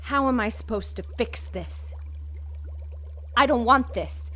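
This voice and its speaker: A high-pitched actress as a reanimated mother in an audio drama. She is a proud, anguished undead woman with a monstrous hunger. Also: slow, fairly bright tone. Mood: despairing.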